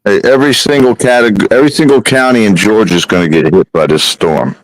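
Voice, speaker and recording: A man, chiming in loudly as a co-host. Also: lowish voice, a little fast, no echo, low-quality recording. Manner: loud interjecting sidekick.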